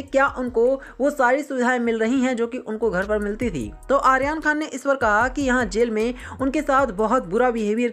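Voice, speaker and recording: A woman narrating scripted, sensational news as the voice of a news channel. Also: a little high.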